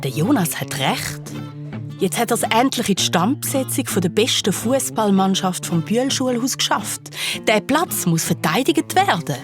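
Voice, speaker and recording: Woman acting a wry storyteller, medium pitch, confiding, teasing, scripted children's detective narration, music behind. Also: sing-song, normal speed, natural voice. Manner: confiding, teasing, tongue-in-cheek. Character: wry, playful female storyteller of detective cases.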